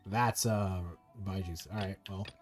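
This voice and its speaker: A man's voice: deep voice, fairly even intonation, unhurried, soft background music. A man streaming a game with casual, slangy commentary and plenty of swearing. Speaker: gaming streamer.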